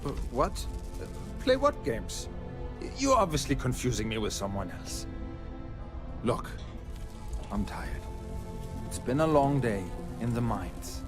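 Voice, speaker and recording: Down-on-his-luck space miner, evasive, stammering, lively swinging pitch, video game dialogue, music behind. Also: a little slow, long pauses. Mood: weary, evasive. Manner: hesitant, stammering, pleading.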